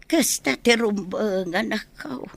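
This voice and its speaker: A woman playing a frail, gentle old mother in an Ilocano radio drama, tender and husky, with an Ilocano accent. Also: mid-range voice, slow, dry recording, exaggerated voice. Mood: warm.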